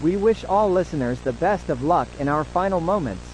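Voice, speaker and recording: A man playing a formal, solemn government announcer, reading a scripted emergency broadcast in a measured way. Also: fairly high pitch, expressive, a little mellow, a little hiss or hum, lightly acted, rough recording. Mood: grave, resigned.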